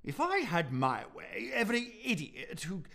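A man's voice: high-pitched, wildly swooping pitch, a little slow, creaky, bright, forceful tone, exaggerated voice. A man playing a bitter, scornful old miser in a theatrical radio play, scoffing and mocking with explosive outbursts. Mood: angry, mocking.

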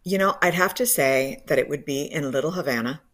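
A woman's voice: low-pitched, a little fast. A woman from Cuba, a professor and co-author, speaking as an enthusiastic guest on a radio interview.

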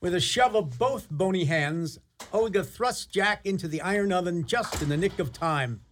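High-pitched male storyteller, wry and theatrical. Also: animated, normal speed, fairly bright tone, soft background music. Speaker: narrator. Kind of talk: scripted radio play storytelling.

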